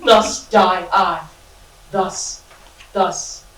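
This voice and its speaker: A hammy, lovesick young hero, played by a woman in a comic stage play. He declaims slowly and theatrically. The sound is distant and echoey, with faint noise underneath. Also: on the low side, steady tone. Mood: mock-tragic. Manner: declaiming, mock-tragic, laughing through lines. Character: lovesick young hero Pyramus, bombastic and overdramatic. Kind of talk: amateur stage comedy acting.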